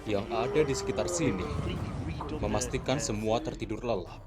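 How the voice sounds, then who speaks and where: A man's voice: lowish voice, moderate pace, loud music behind the voice, close to an everyday voice. A man playing a confident, skeptical leader who commands his men in a comic dub. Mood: calm, commanding. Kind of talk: Indonesian comic dub acting.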